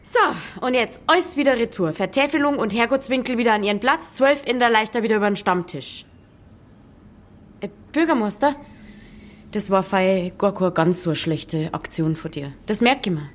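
A woman playing a stubborn, quick-witted young village innkeeper, speaking pointed Bavarian dialect in a drama. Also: medium pitch, slight background noise, lightly acted. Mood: brisk, wry. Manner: feisty, pointed dialect talk.

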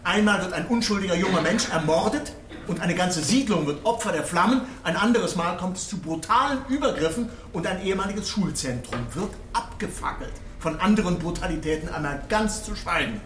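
An actor playing a long-winded, insistent public prosecutor in an audio drama, speaking formally and pointedly with some halting. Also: male voice, sits high, expressive. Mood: insistent, accusing. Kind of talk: scripted audio drama courtroom speech. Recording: roomy, distant, faint noise.

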